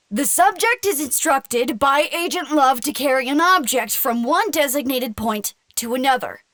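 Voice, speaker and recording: An actress playing a formal, detached narrator reading scientific experiment logs in a precise, impassive way. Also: female voice, high-pitched, fairly bright tone, no echo. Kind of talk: scripted narration, clinical report reading.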